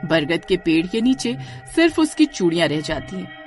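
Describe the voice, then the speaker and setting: A woman tells a scary story as a scripted narrator. Her voice sits a little low and swings expressively for drama, with faint music behind it. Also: very animated, normal speed.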